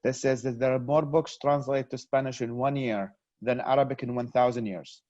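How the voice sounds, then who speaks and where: A man's voice: medium pitch, fairly even intonation, moderate pace, darkish tone, no echo. A man from Iraq giving earnest interview answers over a video call, often restarting and repeating words as he thinks. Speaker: interview guest, activist. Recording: dull lo-fi video call audio.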